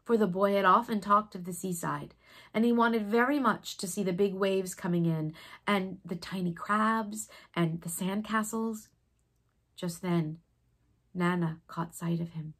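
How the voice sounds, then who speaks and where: Breathy woman reading a children's story. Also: mid-range voice, fairly even intonation. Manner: dramatic, with hushed breathy passages and pauses. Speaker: storybook reader. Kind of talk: expressive children's story narration.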